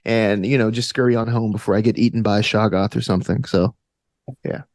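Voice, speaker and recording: A man talking casually as a podcast guest, animated, often restarting his sentences and chuckling as he goes. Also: on the low side, a little fast, no echo, studio quality. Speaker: podcast guest, gamer and game master. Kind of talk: casual conversational interview.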